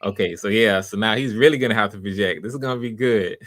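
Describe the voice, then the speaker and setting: Low-voiced man hosting a livestream. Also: fast talker, a bit bright, slight background noise. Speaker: host running a live voice audition.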